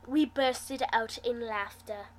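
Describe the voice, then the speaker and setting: A woman reading fan fiction aloud in an expressive, dramatic manner, acting out the dialogue as she goes. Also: on the high side, slow pace. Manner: animated, dramatic delivery. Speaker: amateur fan fiction reader, host.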